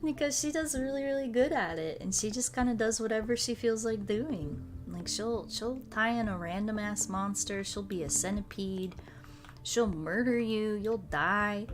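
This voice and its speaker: A woman's voice: mid-range voice, moderate pace. An American woman chatting casually on a livestream while she plays a puzzle game, in a playful, slangy, rambling way. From United States. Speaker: streamer. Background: music.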